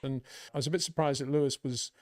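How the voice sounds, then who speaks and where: A man gives his opinions on motorsport in an unscripted commentary. He talks at a rapid pace in a mid-range voice. The recording is dry and free of echo. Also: mid-range voice, fairly even intonation, a little mellow.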